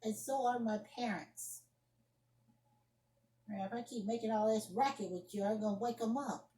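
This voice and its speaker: Woman performing indignant young woman, higher-pitched, scolding, emphatic repetition, amateur phone-argument monologue, roomy echo. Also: moderate pace, dark tone, lightly acted. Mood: fed up. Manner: scolding, emphatic, repeats phrases. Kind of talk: amateur solo acted monologue, one side of a phone argument. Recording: roomy echoing home recording.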